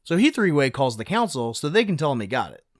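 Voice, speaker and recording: A man's voice: fairly high pitch, sing-song, fast, no room sound, studio quality. A man narrating a film recap casually, with a wry, sarcastic, joking delivery.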